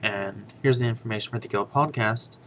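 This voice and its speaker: A man hosting a casual guild podcast announcement, speaking in a monotonous, rambling way with many fillers. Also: a little fast. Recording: dull lo-fi with faint rumble.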